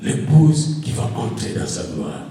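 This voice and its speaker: A male pastor preaching a sermon in French that is not his first language, building to shouted refrains. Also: very deep voice, sing-song, measured pace, slight background noise. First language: an African language. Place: church. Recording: echoey hall, voice from far off.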